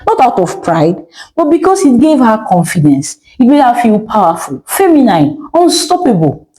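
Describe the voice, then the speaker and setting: A woman's voice: on the high side, very animated, normal speed, mellow, low-quality recording. A woman with a Nigerian accent narrating a scripted story in a theatrical way, with emphatic short phrases and rhetorical questions.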